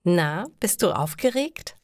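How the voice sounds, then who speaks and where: A woman voicing a caring mother who gently advises her grown daughter in slow, reassuring storybook dialogue. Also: fairly low pitch, big pitch swings, no echo, clean studio sound.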